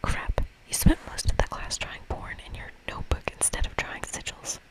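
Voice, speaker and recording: A woman's voice: fairly quick, poor audio. Whispering woman, playful ASMR game reader. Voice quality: breathy whisper. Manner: playful, casual. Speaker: ASMR streamer reading a video game aloud. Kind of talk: whispered ASMR playthrough, reading dialogue and commenting.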